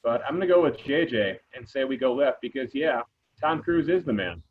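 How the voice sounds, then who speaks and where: A man's voice: medium pitch, lively intonation, brisk, breathy edge, narrow, tinny audio. A man playing a practical teacher leading a treasure hunt, reading a script over thin audio. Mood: matter-of-fact. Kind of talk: amateur table read of a movie script.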